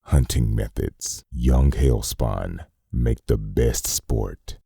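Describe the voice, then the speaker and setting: A man performing a grave, clinical narrator who reads a demon-hunting manual in a measured, formal, gravelly voice, as scripted comic-dub narration. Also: rumbling low, mellow, no room sound, studio quality, heavily acted. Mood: cold, instructive.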